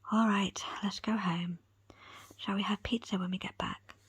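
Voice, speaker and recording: A woman voicing a patient, placating foster mother in a whispered audiobook, gentle and hesitant. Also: medium pitch, steady tone, normal speed, slightly rough, no echo. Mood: resigned, gentle. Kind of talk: whispered audiobook character acting.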